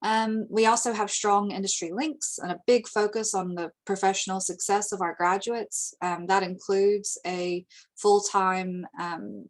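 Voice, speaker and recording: An American woman from the United States giving a webinar presentation over slides, steady and informative, with frequent ums. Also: medium pitch. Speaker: international recruitment presenter.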